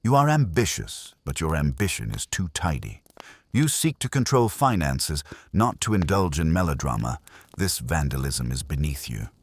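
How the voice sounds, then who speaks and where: A man voicing an imperious, calm detective genius in an audiobook, pompous, formal and husky. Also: very deep voice, moderate pace, dry recording, exaggerated voice. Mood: coolly appraising.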